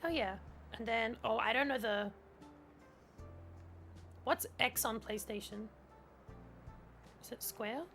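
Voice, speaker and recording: A woman's voice: a little high, slow, long pauses, fairly bright tone, faint music behind. A woman whose first language is German, chatting casually in a gameplay stream and reading on-screen text aloud, with lots of "like". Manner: chatty, heavy use of "like", reads on-screen tutorial text aloud.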